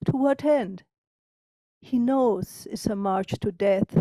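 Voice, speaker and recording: A woman from Mexico, a poet, introducing and reciting her own work in a solemn, deliberate manner. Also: mid-range voice, warm tone, no echo, poor audio.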